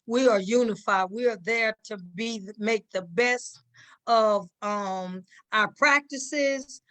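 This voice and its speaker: A woman with a bright, forceful voice pitched a bit high talks as an interview guest. She is conversational and emphatic, slipping into quoted back-and-forth to make her point. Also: takes it slowly. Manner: emphatic, acts out quoted exchanges. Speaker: cast member, interview guest.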